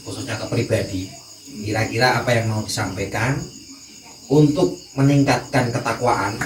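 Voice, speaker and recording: Man, Javanese accent, talk moderator, informal, echoey sound, faint noise of crickets. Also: medium pitch. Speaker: host, moderator. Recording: distant echoey recording, faint insect noise.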